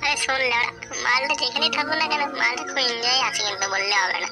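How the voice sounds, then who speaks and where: Over prominent music comes a fairly high voice, a woman's, playing a crude, gossipy young villager in a cartoon comedy. The delivery is slangy and exclamatory, full of coarse village banter. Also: fast talker, natural voice. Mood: crude, concerned. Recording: voice over loud background music.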